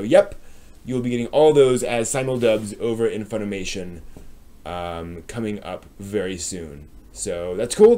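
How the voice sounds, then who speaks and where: A man with an American accent hosting a live stream, giving a casual news rundown in a hesitant, halting way as he thinks aloud. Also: medium pitch, warm tone. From United States.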